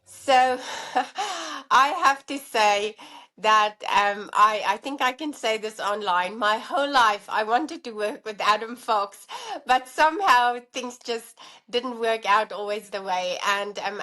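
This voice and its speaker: A woman's voice: on the high side, slow pace, bright, forceful tone. A middle-aged woman from South Africa with a South African accent, a guest on a podcast, explaining her career in a friendly way with many fillers. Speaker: guest, allergy dietitian and professor. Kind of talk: podcast interview.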